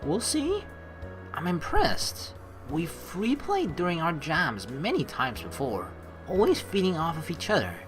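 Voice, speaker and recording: Woman, let's play streamer, low pitch, animated delivery, reading story text aloud, playful casual asides. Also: unhurried. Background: game music.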